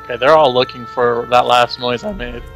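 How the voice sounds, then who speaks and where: Dude with a bright sharp voice chatting thru gameplay, bit of music in the back. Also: male voice, medium pitch, fairly even intonation, normal speed, low-quality recording. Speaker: gaming channel player. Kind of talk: casual co-op gameplay chat. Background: game music and sound effects.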